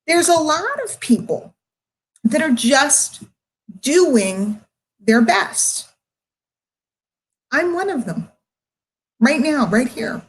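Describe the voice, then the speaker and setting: A middle-aged woman speaking emotionally and candidly to her online followers, confiding and emphatic, with drawn-out words and pauses. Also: high voice, big pitch swings, poor audio. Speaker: online marketer addressing followers. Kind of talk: candid livestream monologue to followers.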